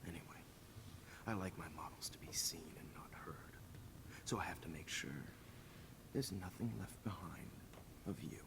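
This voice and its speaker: Hushed, low, menacing villain. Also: male voice, moderate pace, pauses often, in a whisper, poor audio. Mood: cold, condescending. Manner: hushed, condescending, calmly gloating delivery. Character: menacing, smug male teacher and photographer villain. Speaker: villain in a story video game. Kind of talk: dramatic video game acting.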